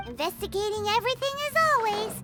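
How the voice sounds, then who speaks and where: Squeaky little fairy companion. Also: female voice, expressive, fast. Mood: chirpy, inquisitive. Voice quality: squeaky, childlike. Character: small childlike female fairy companion, bubbly and inquisitive. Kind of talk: video game voice acting. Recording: music behind the voice.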